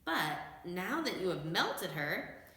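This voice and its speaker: A woman's voice: on the low side, sing-song, takes it slowly, bright, forceful tone, very echoey, exaggerated voice. A woman voicing a very old storybook wizard, meek and apologetic, who reasons and confesses mildly. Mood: confessional. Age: older.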